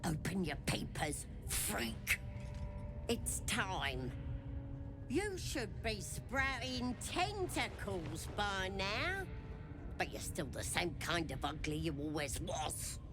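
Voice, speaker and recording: Casting: cruel female goblin priestess, unhurried and lowish, slangy, possessive menace for a fantasy game. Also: very animated, over music. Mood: scornful. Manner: crude slangy speech, taunting. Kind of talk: video game voice acting.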